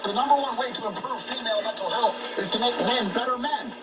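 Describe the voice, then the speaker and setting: A man's voice: very high-pitched, fast talker, loud music behind the voice. A man talking politics as a guest on a talk show, in an emphatic, ranting manner, heard over shortwave radio. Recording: noisy shortwave radio broadcast, distant.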